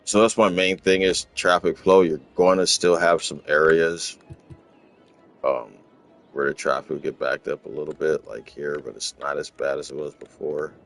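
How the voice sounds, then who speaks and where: A man from Los Angeles, casually talking through his game in a rambling, relaxed way, often repeating words. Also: a bass voice, moderate pace, faint music behind. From Los Angeles, USA. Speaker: gaming channel host. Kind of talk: casual gameplay walkthrough commentary.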